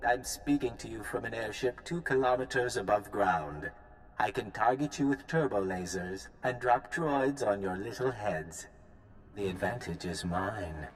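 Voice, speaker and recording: A man's voice: on the low side, a little slow, a little hiss or hum, close to an everyday voice. An actor playing a smug, menacing corporate villain in a video game, sardonic and mock-polite as he taunts. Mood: gloating menace. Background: game music.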